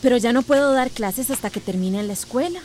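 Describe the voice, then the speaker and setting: A woman's voice: fairly high pitch, brisk, darkish tone, close to an everyday voice. A woman acting an earnest, impatient schoolteacher in a radio drama. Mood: exasperated, firm. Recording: faint background noise.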